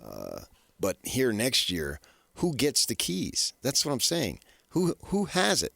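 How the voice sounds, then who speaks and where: A man's voice: medium pitch, huge pitch swings, takes it slowly, creaky, fairly bright tone, dry recording. A man hosting sports radio in an unscripted style, often pushing his points with repeated rhetorical questions. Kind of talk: unscripted sports talk commentary.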